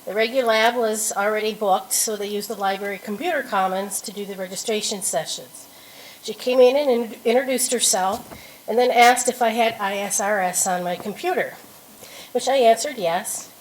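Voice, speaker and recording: A woman's voice: medium pitch, moderate pace, a little hiss or hum, poor audio. A woman telling a story about meeting a colleague, in a speech at a staff event. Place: event room with an audience.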